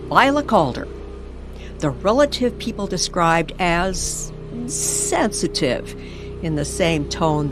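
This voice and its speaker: Woman narrating spooky old timey radio story, really slow n suspenseful, music behind her. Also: low voice. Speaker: co-narrator of an audio story series. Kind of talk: dramatic scripted story narration in old-time radio manner. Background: music under the voice.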